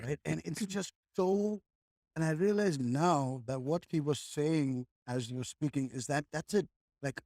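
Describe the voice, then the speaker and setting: Man, podcast guest, mellow voice, slightly high, dry close sound, rambles and restarts. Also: no room sound. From India. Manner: rambling, with frequent restarts and "like", "right?". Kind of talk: reflective conversational chat.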